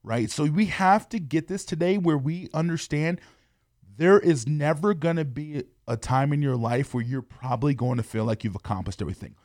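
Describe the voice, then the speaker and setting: A man's voice: on the high side, moderate pace, darkish tone, no echo, clean studio sound. A young adult man, hosting a podcast and talking to listeners in an earnest, encouraging way. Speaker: podcast host. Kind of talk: motivational podcast talk.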